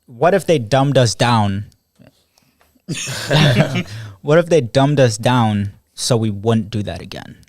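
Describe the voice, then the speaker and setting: A man's voice: mid-range voice, slow pace, long pauses, no room sound, clean studio sound. A teenage man hosting a casual podcast chat with friends, rambling, laughing often and swearing freely. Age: teens. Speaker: podcast host. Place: small room with friends.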